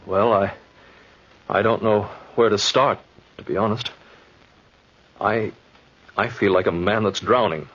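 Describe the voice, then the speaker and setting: Earnest, troubled husband in old radio drama; lowish, husky voice, muffled recording. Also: male voice, steady tone, a little slow, long pauses, fairly bright tone. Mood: hesitant, troubled. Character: troubled husband on trial, recounting his hunting trip. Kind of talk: 1940s radio drama acting.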